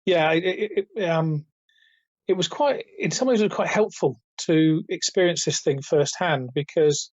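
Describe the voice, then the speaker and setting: Raspy-voiced man, higher than usual. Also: moderate pace, a little mellow, no echo. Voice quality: raspy, slightly high after illness. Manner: hesitant, many restarts, chuckles between points. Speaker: guest, retail author and company founder. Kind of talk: casual interview over a call. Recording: dull, dry remote call audio.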